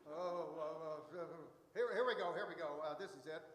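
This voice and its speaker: Pompous, flustered male grand judge, played by an actor, high-pitched and blustery, comic radio play in an echoey hall. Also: normal speed, a little hiss or hum, lightly acted. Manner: blustery, stammering. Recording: echoey church hall, live stage.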